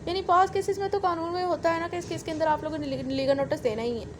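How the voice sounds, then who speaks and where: A woman's voice: very high voice, steady tone, fast talker, noisy background. A woman with a Pakistani accent giving an explanatory law lecture, going step by step and repeating key points. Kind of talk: explanatory lecture, reading out and explaining legal articles.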